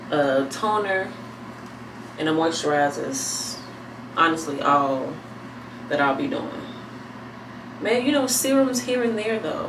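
A pregnant woman in her thirties chatting casually to camera at home, thinking aloud in a relaxed, rambling way. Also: sits low. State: heavily pregnant. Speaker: vlogger. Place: small bathroom at home, indoors. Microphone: camera mic a few feet away. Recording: echoey room, distant mic, air conditioner hum.

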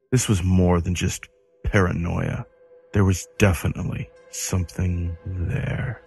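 A man narrating a creepy horror story in a dramatic, ominous manner with deliberate pauses. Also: low voice, creaky.

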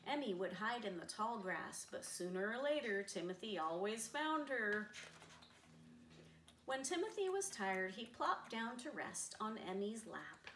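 A middle-aged woman reads a children's picture book aloud in an expressive storyteller's manner. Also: mid-range voice, measured pace. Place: living room, seated on a sofa. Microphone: camera a few metres away. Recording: roomy, distant camera mic, faint noise.

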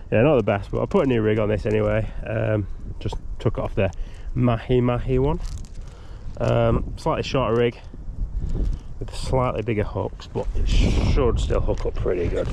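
A man's voice: on the low side, steady tone, normal speed, vocal fry, dark tone. A young man chatting upbeat to camera while fishing on a windy riverbank, picked up by an action camera. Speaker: fishing vlogger. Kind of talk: casual outdoor commentary. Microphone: action camera on body or tripod.

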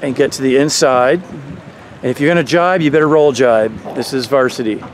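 A man's voice: mid-range voice, normal speed. A man coaching sailors from a motorboat, explaining technique and calling out commands. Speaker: sailing coach. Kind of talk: live on-the-water coaching commentary. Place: outdoors on a motorboat on a lake. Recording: wind noise on camera mic.

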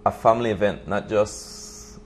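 Man, fairly low voice, halting answers on a tv talk show, slight background noise. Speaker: basketball league official, interview guest. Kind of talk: studio interview talk. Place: TV studio set, indoors. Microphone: lapel.

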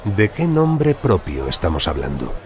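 An actor playing a proud, demanding American senator and collector with a pompous, theatrical manner in an audio drama. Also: male voice, low voice, very animated, unhurried, darkish tone, soft background music, exaggerated voice. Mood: bargaining, haughty.

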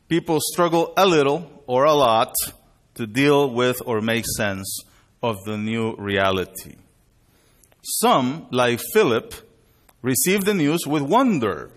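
A middle-aged man, born in Chile and raised in Panama, preaching at a lectern in a measured, deliberate way. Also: mid-range voice. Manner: measured, deliberate delivery with bilingual openings. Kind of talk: sermon at a worship conference. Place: indoor hall with a screen. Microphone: lectern mic, far camera.

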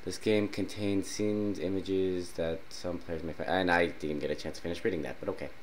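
A man's voice: low voice, normal speed, a little hiss or hum. A young man with a sinus infection and sore throat, casually commenting on a game and reading its dialogue aloud. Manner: clears throat often, reads dialogue in a flat voice. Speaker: gaming YouTuber. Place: small dark room at home. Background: loud game music.

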